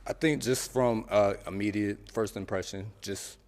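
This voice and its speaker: A man's voice: fairly low pitch, fairly even intonation, a little slow, a little mellow, big-room sound. A man on a dating game show, explaining his picks bluntly but politely. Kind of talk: casual chat.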